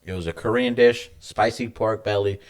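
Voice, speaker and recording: Man telling a profane story at a lively clip. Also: on the low side, moderate pace. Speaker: podcast co-host; hospital medical worker. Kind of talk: casual podcast chat.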